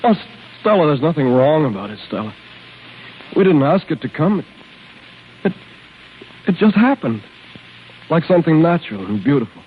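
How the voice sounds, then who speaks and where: A man's voice: a little high, sing-song, normal speed, long pauses. A man acting a lovesick, impulsive young nephew in a 1930s radio drama, earnest, impassioned and stammering. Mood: agitated pleading. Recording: tinny, hissy 1930s radio broadcast.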